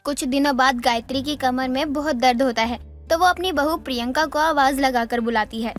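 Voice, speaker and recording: A woman's voice: sits high, normal speed. A woman narrator reading a scripted moral story. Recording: music under the voice.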